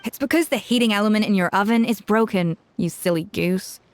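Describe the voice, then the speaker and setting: An actress playing a proud, brilliant chef who mentors bluntly and scolds with exasperation in a game cutscene. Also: female voice, mid-range voice, fairly quick, close to an everyday voice. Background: faint game music.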